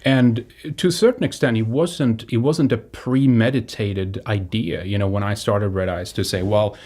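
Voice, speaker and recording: Low-voiced man from Sweden, halting delivery. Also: fairly even intonation, darkish tone. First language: Swedish. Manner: halting, repeats and restarts words. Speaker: online show host. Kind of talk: unscripted appeal to viewers.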